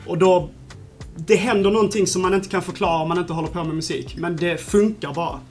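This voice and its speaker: A man's voice: sits high, fast talker. A man talking casually as an interviewed music producer, explaining his views directly in short, assertive statements.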